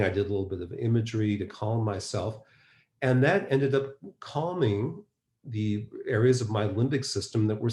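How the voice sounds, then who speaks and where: A man's voice: medium pitch, a little mellow. A man presenting a webinar in a conversational, explanatory way, posing rhetorical questions as he teaches. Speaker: presenter, mind-body medicine practitioner. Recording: roomy, echoing webinar audio.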